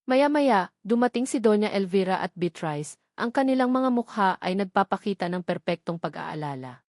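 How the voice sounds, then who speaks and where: A woman's voice: mid-range voice, animated, moderate pace, darkish tone, dry recording, studio quality. A woman with a Filipino accent reading a scripted story as a dramatic audiobook narrator.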